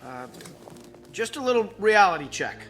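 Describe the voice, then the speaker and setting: Brusque, sharp-voiced troubled executive. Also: male voice, sits high, very animated, normal speed, faint music behind, exaggerated voice. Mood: insistent. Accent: American. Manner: terse, probing, sardonic. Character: troubled, brusque male office executive with bitter irony. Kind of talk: naturalistic dramatic acting.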